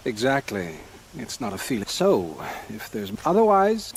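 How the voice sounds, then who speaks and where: A man's voice: medium pitch, wildly swooping pitch, unhurried, poor audio. A man playing a loyal, respectful, plainspoken royal officer in a video game cutscene. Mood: steady, respectful. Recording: faint background noise and ambience.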